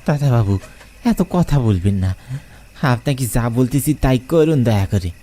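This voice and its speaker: A man's voice: medium pitch, lively intonation, unhurried, warm tone, close to an everyday voice. A man voicing a superstitious village rickshaw puller who speaks respectfully and pleads urgently. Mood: urgent warning.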